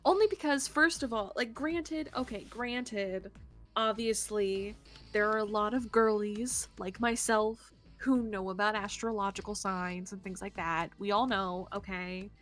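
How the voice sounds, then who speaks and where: Young woman, casual game stream chat, animated and rambling, ordinary pitch, background music. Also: unhurried. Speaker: streamer co-hosting a visual novel playthrough. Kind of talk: casual chatty game commentary.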